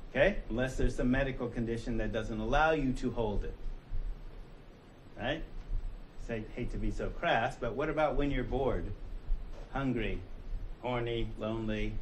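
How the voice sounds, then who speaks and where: An American man teaching a college class, his voice sitting at a middle pitch. He speaks in a loose, conversational way, tossing out rhetorical questions and slipping into the inner voices of the people in his examples. A faint hiss sits under the classroom recording. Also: low-quality recording. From United States. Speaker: college instructor. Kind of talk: classroom lecture.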